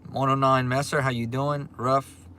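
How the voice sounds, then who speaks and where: Louisiana-accented man in his forties, live-stream host, relaxed, mid-pitched, faint background noise. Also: normal speed. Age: 40s. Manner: easygoing, repeats himself, frequent ums. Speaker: livestream host. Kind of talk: casual livestream chat reading viewer comments. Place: restaurant. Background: faint restaurant ambience.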